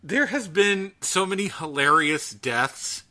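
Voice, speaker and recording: A man co-hosting a casual podcast, talking in a chatty, halting way. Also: high voice, animated, pushed and bright. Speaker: podcast co-host.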